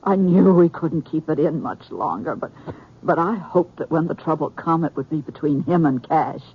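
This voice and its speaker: An actress playing a grieving, forgiving American frontier woman in a radio drama, speaking in a grave, halting way. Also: low voice, expressive, husky, heavily acted. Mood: pained, earnest. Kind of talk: 1950s radio drama acting. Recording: muffled, noisy old radio broadcast.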